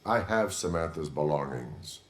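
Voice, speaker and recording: An actor playing a stoic cyborg police officer in a video game, speaking in a deadpan, formal, clipped way. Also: male voice, a bass voice, unhurried, slight background noise.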